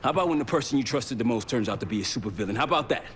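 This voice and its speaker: An actor playing a curt, defensive covert team leader in a video game. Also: male voice, mid-range voice, animated, rapid-fire, heavy background noise, close to an everyday voice. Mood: hurt, defensive. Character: terse, wounded male covert team leader. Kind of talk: video game cinematic acting.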